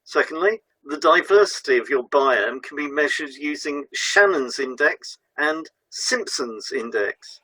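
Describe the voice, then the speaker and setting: A man co-presenting a scripted educational lesson, reading in a measured, formal way. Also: fairly high pitch, animated, pushed and bright, poor audio.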